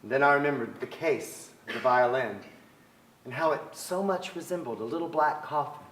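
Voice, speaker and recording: A man's voice: mid-range voice, moderate pace, fairly bright tone, close to an everyday voice. An actor playing a wistful man recalling his boyhood in theatrical literary narration. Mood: somber. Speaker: stage actor in a staged reading. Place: theatre stage. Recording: echoey theatre hall. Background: violin music.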